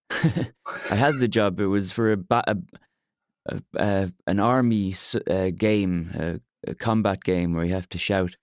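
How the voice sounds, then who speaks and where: A young man from Ireland with an Irish accent, a bit hoarse today, talking openly in a therapy podcast and pausing often with fillers and restarts. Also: deep voice, fairly even intonation, dry recording, clean studio sound. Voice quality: hesitant, many fillers and restarts. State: slightly hoarse from shouting. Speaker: podcast co-host, client. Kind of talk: candid therapy conversation.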